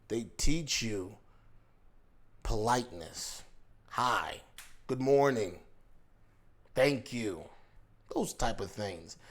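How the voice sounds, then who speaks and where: A man giving a casual monologue as a YouTube commentator, reflective, posing rhetorical questions to the viewer and circling back over his own words. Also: medium pitch. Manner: talks in loose restarts and repeats himself.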